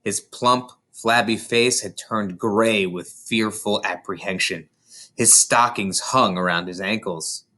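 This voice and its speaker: A man narrating a children's book aloud in an expressive storytelling style. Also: fairly low pitch, takes it slowly. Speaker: storybook reader. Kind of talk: animated children's audiobook narration.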